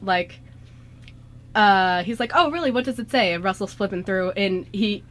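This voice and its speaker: A young woman hosting a radio show in casual, excited group chat, often acting out quotes and saying "like". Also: mid-range voice, moderate pace. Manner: animated quoting of characters, frequent 'like'. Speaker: radio show host and scriptwriter. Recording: noisy amateur radio show recording.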